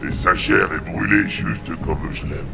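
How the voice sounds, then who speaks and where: A surly, ravenous monster soldier for a video game cutscene. The voice is a thick, rattling growl, full of menace, with music clearly behind it. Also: male voice, low-pitched, measured pace, full character voice. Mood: surly, hungry. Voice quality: very gravelly, growling. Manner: grumbling, menacing. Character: surly, hungry, bloodthirsty male alien brute grunt soldier.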